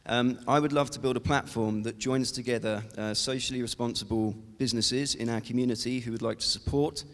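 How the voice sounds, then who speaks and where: A man, a developer, giving a chatty startup pitch with frequent ums and uhs. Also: steady tone, fairly quick, a bit bright. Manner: chatty, with many fillers. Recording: long hall reverb.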